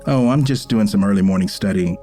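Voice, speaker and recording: Caring father male actor, middle-aged, warm low-key tone, folksy and measured, audio drama over faint music. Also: lightly acted. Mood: casual. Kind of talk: audio drama acting, conversational.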